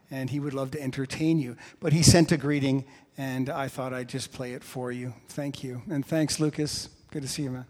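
Male pastor, monotone, long hall reverb. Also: mid-range voice. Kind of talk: church announcements.

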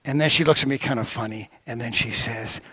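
A middle-aged man preaching a sermon as pastor, telling stories with lively swings of voice. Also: a little fast, slightly rough, poor audio. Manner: storytelling with lively pitch swings.

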